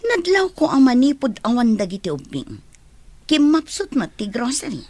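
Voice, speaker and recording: A woman playing an uneasy, defensive grocery seller in an audio drama, conversational and expressive. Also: a little high, normal speed, no echo. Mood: worried.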